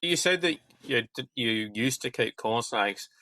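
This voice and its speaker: A man's voice: mid-range voice, lively intonation, unhurried, sharp tone, slight background noise. A man co-hosting a podcast, asking long rambling questions in a casual way. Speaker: podcast co-host.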